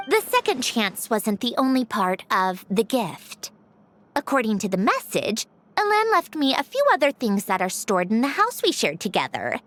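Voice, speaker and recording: A woman's voice: fairly high pitch, fairly bright tone. A woman playing a proud, sardonic young villainess in a video game, with a dry, condescending, theatrical delivery. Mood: reflective, guarded. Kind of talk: video game character dialogue.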